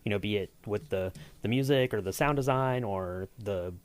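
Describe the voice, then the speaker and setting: Lowish-voiced man asking questions. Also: no echo. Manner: halting, repeats words while phrasing questions. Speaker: podcast host and interviewer. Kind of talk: casual interview.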